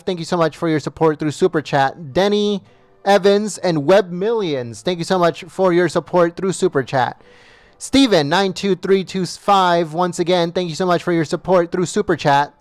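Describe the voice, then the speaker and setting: A man's voice: sits high, normal speed, dry recording. A man hosting a livestream, reading out viewer names and thanking each in turn while losing his voice, with small stumbles over names. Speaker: livestream host.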